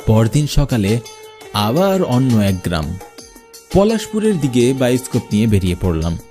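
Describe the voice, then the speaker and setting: Man as an animated, mellow travelling village showman. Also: mid-range voice, moderate pace, faint music behind, natural voice. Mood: storytelling. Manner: expressive storytelling, singsong showman chants. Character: travelling village bioscope showman telling his own eerie tale. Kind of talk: scripted first-person horror story narration.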